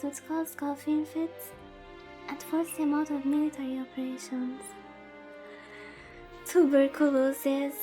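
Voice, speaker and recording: Woman VTuber host, high voice, unhurried casual stream chat, mock-lamenting delivery, music underneath. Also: a little mellow. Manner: playfully dramatic, lamenting. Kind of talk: casual livestream chat while playing a visual novel. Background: background music.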